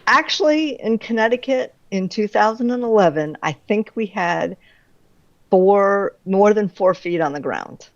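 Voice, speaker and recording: A middle-aged woman chatting casually as an author in an interview, animated and quick to laugh. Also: medium pitch, dry recording, highs cut off. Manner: animated, laughs readily through her anecdotes.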